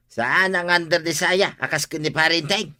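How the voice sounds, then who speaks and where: An actor with an Ilocano accent playing a teasing, lazy, boastful husband in a radio comedy drama. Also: male voice, on the high side, measured pace, bright, forceful tone, dry recording, studio quality. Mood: boastful, indignant. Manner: mocking, exclaiming.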